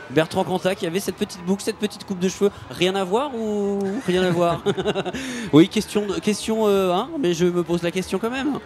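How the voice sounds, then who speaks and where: A man's voice: sits high, darkish tone. A middle-aged man hosting a festival radio interview, familiar and teasing in his questions. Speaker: radio host. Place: festival radio booth in front of a sponsor backdrop. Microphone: handheld radio mic, wearing headphones.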